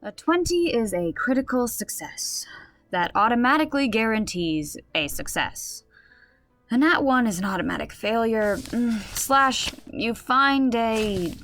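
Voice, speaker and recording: A woman's voice: a little high, a bit bright, exaggerated voice. A woman playing a wry game master who explains and narrates a comedic tabletop game in an audio drama. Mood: narrating, patient.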